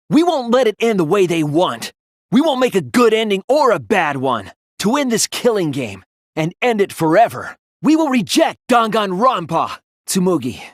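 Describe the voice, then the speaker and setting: A man's voice: high voice, no echo, studio quality. A young man voicing an earnest, wounded but defiant teenage boy detective in a dramatic video game dub, emotional and emphatic. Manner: emotional, emphatic, swinging from hushed to impassioned.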